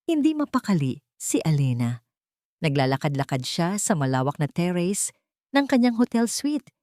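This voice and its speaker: A woman tells a romantic drama as a scripted narrator. Her voice sits a little low but moves with great animation. The sound is close and dry. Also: a little mellow, no echo. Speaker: narrator of love stories.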